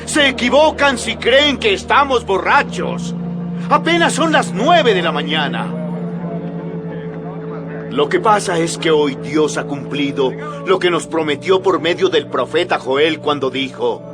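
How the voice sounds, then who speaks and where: A fervent apostle in a dramatized audio Bible. His fairly high voice swings widely as he declaims boldly and emphatically to his listeners, with music playing clearly behind him. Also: lively intonation, unhurried. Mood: emphatic, urgent. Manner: declamatory, emphatic public address. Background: background music.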